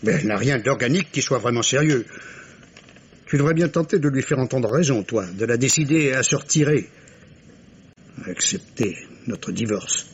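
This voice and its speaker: A man's voice: medium pitch, lively intonation, normal speed, lightly acted. An actor playing a dismissive, patronising middle-aged father and doctor, theatrically exasperated, in a 1950s radio mystery. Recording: noisy vintage radio drama recording.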